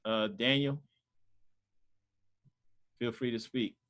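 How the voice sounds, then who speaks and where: A man's voice: medium pitch, fairly even intonation, slow, fairly bright tone. A man casually teaching a Sunday school group over a video call, talking loosely with lots of fillers. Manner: loose and conversational, many fillers.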